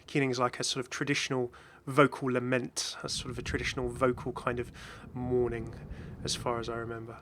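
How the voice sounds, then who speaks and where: A man's voice: medium pitch, monotone, brisk, few pauses, a bit bright, a little hiss or hum. A man giving casual, off-the-cuff game commentary as a video host, chatty and rambling with enthusiastic asides.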